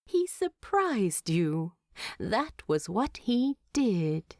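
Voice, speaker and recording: A woman narrating a story from a script in an expressive storytelling style. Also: medium pitch, extremely slow, dry recording, studio quality.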